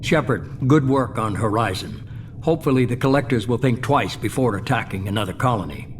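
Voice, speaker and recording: An actor playing a ruthless, calculating leader of a secret organization in a game drama. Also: male voice, medium pitch, a little fast, slight background noise, lightly acted. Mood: smooth, approving. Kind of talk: dramatic video game dialogue.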